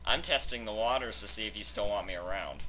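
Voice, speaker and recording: A man's voice: lowish voice, fast, pushed and bright, a little hiss or hum, low-quality recording. A man hosting a fanfic reading channel, chatting casually and stammering a little as he introduces things.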